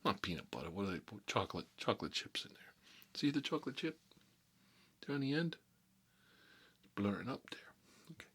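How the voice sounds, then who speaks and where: Man doing a low, gruff, chatty mob boss. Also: very animated, a bit bright, full character voice. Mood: chatty, explaining. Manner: rambling, halting, muttering, talking with food in his mouth. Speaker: ASMR role-play performer. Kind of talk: improvised ASMR character role-play, eating while talking.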